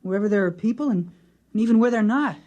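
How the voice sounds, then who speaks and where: A performer playing a quiet, wondering small-town boy in a 1950s radio drama. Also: mid-range voice, fast, highs cut off, natural voice. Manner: simple, wondering. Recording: restored vintage radio recording.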